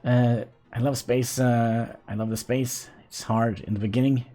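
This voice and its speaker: A man's voice: mid-range voice, little pitch movement, a little slow, warm tone, faint music behind. A man from Norway, chatting casually to his live-stream viewers while he plays, laughing often. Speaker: game streamer.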